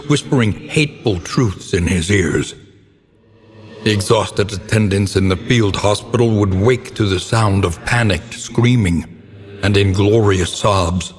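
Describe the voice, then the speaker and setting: Grim game storyteller played by a man: very low, unhurried, brooding and theatrical, delivering dark gothic narration. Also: lightly acted. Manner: solemn, theatrical, ornate phrasing. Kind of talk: dramatic scripted gothic narration. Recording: long reverberant tail, clear background noise.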